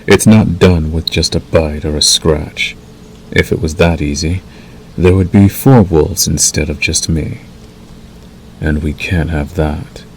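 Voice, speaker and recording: A man performs a werewolf lover in an intimate ASMR roleplay, possessive and quietly menacing, yet tender. His voice sits very low with a gravelly creak, coaxing and soothing at an unhurried pace. Faint noise lies behind it. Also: fairly even intonation, rough recording. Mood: teasing, possessive. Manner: slow, soothing, coaxing with dark undertone.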